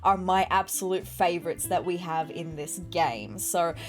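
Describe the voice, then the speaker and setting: A woman's voice: lowish voice, soft background music. Chatty Australian woman, a bit nasal. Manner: chatty and apologetic, quick asides. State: slightly nasal, recovering from illness. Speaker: YouTube game builder. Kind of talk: casual game-build narration.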